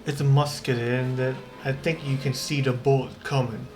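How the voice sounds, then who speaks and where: A man with a medium-pitched voice comments casually on gameplay. He sounds offhand and wry, like a streamer thinking aloud, with quiet music in the background. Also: little pitch movement, normal speed. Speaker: game streamer. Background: faint game music.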